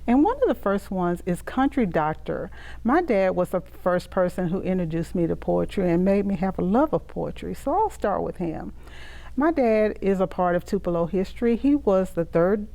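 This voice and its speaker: A woman's voice: mid-range voice, vocal fry, mellow, poor audio. A middle-aged woman with a Southern US accent, reciting and introducing her own poetry. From Mississippi, United States. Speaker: poet and author reading own poems.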